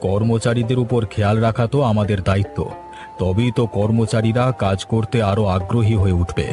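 A man acts out a benevolent Bengali company boss in a cartoon. He speaks in a lowish voice, slightly formal and encouraging. Faint music runs underneath. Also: fairly even intonation, moderate pace, natural voice. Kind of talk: Bengali cartoon story voice acting. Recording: music behind the voice.

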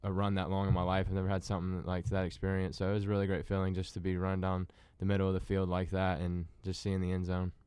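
A man's voice: sits low, monotone, fast, few pauses, darkish tone, clean tone, no echo. A young man in his twenties, a college running back, telling his story in a laid-back way on a radio show. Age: 20s. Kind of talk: casual radio interview. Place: radio studio.

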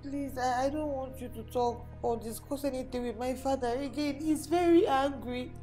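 A woman's voice: high voice, steady tone, normal speed, warm tone, close to an everyday voice. Woman playing a worried young daughter. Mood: pleading. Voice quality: pleading. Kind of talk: skit acting. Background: music.

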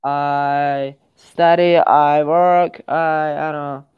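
A man in his twenties from Iran chats slowly in halting English, filling his pauses with hesitations. His voice is smooth and clear. The audio is dull and lo-fi. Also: a little high, steady tone. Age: 20s. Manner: halting, searching for English words with many fillers. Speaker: guest on a chat show. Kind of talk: casual chat.

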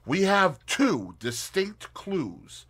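A man reading an audiobook, voicing a brisk and determined young woman detective with emphatic, crisp speech. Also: sits high, very animated, a bit bright, voice pushed up, heavily acted.

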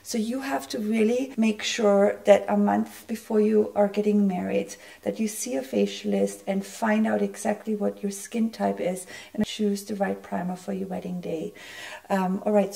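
Hoarse, gravelly woman losing her voice. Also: medium pitch, dark tone. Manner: chatty, friendly, explains as she works. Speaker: makeup artist. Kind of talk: makeup tutorial.

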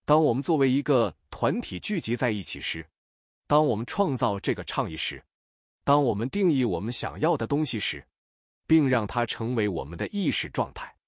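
A man's voice: medium pitch, dry recording, studio quality. A man dubbing an earnest, impassioned advocate in a documentary monologue.

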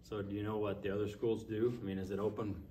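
A man's voice: fairly low pitch, little pitch movement, fast talker, warm tone. Man asking from the back of the room. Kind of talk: board meeting discussion. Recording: far from the mic, faint noise.

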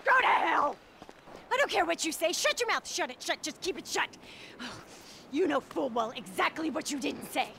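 A woman's voice: sits high, expressive, moderate pace, creaky, bright, forceful tone, a little hiss or hum, exaggerated voice. An actress playing an angry, insecure, combative fitness fanatic woman in her thirties in a video game cutscene, swearing and neurotic. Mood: bitter, frantic. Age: 30s.

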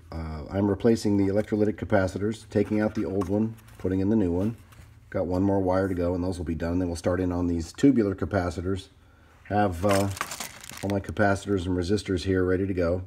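A man's voice: deep voice, fairly even intonation, fairly quick, warm tone. A man giving a casual, unscripted hands-on tutorial, thinking aloud as he works at an indoor bench. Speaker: hobbyist repairer demonstrating his work. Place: indoors at a workbench.

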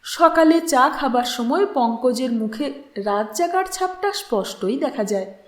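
A woman storyteller reading literary fiction aloud in an expressive, dramatic way. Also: sits high, a little slow, echoey room. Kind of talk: expressive literary audiobook narration with acted dialogue.

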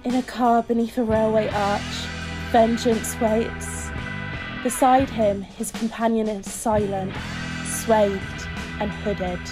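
A woman's voice: fairly high pitch, level tone, takes it slowly, over music, rough recording. A woman playing an ominous storyteller, narrating a revenge tale in an audio drama. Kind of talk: slow scripted drama narration.

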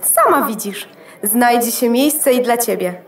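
A woman's voice: on the high side, expressive, a little slow. Echoey, effusive motherboard hostess. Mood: gracious. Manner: effusive, rambling, polite. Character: gracious, flustered female motherboard hostess. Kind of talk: children's audio drama acting. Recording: echoey room.

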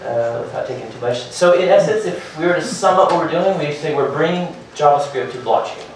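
A man in his thirties giving a hurried startup presentation at a meetup, full of fillers and restarts. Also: a little high, animated, a little hiss or hum. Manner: hurried, filler-heavy, restarts and repeats words. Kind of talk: conference talk. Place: meetup room with projector and seated audience. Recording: very echoey room from far off.

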